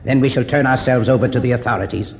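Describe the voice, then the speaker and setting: A man's voice: medium pitch, fast, a little mellow, lightly acted. Man acting a solemn cult leader, cavernous old radio. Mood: solemn, resigned. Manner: formal, declamatory. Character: solemn, commanding male Egyptian cult leader. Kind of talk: 1950s radio drama acting. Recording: muffled, noisy 1950s radio recording, reverberant.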